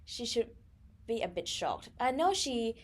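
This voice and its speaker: A woman's voice: a little high, very animated, unhurried. A young woman from Thailand, co-hosting a casual podcast and telling her story with many fillers and self-corrections. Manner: frequent fillers and self-corrections, laughs easily. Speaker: podcast co-host.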